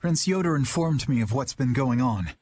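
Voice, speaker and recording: An actor playing an earnest, principled young knight captain in a video game, speaking in a measured, thoughtful way. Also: male voice, mid-range voice, lively intonation, a little mellow, slight background noise, close to an everyday voice. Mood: solemn. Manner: measured and reflective, rising to a rousing rally. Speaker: knight commander.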